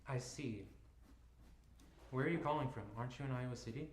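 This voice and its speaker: A man reading a calm, measured father. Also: medium pitch, slight background noise, natural voice. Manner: measured, polite. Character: calm middle-aged father on the phone. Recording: echoey room.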